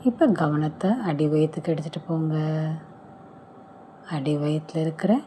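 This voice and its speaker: A woman leading a guided relaxation meditation, giving soothing, gentle step-by-step instructions with pauses. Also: deep voice, steady tone, slight background noise.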